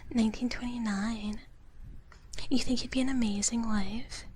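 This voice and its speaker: A woman whispers softly and breathily into a close ASMR microphone, her voice slightly high. She rambles playfully to her viewers in a casual livestream style, often repeating herself. A faint noise sits behind her voice. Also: steady tone, moderate pace. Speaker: livestream host, VTuber.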